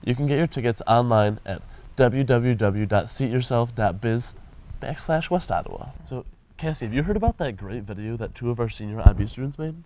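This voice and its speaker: A man's voice: medium pitch, animated, fairly quick, a little mellow, slight background noise. A teenage boy anchoring a school news broadcast, reading announcements in a plain, informative way. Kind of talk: morning announcements.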